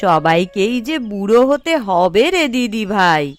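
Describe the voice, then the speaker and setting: A woman voicing a kindly, tired elderly village grandmother in a cartoon, speaking affectionately and slowly. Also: mid-range voice, lively intonation, soft background music, natural voice. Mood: wistful, fond. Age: elderly figure. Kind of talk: Bengali family cartoon voice acting.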